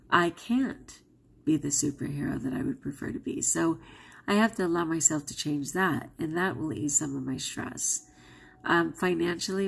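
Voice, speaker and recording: Woman vlogger, non-native English speaker, chatty and candid, gravelly fry in the voice. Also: deep voice. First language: not English. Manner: candid and chatty, with frequent laughs. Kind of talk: casual vlog talk to camera.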